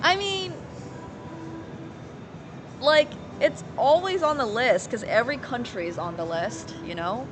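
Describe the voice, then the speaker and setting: A woman's voice: a little high, animated, measured pace, pauses often, noisy background. A woman from Finland live streaming casually, thinking aloud in rambling fragments as she plays and chats with viewers. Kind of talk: casual chat while playing poker, answering viewers.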